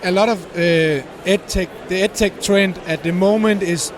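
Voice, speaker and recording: High-voiced, halting man over clear background noise. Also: a crowd in the background. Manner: hesitant, halting, searching for words. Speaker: startup representative being interviewed. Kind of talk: explanatory interview answers. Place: busy tech conference venue. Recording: noisy event hall, steady din.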